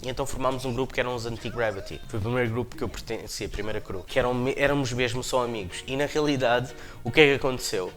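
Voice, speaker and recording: Thirties male interviewee, Lisbon-area Portuguese accent, easygoing anecdotal delivery over soft music. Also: mid-range voice, fast. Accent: European Portuguese, Lisbon area. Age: 30s. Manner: relaxed storytelling, slang, restarts. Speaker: interview guest, dancer. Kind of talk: documentary interview monologue.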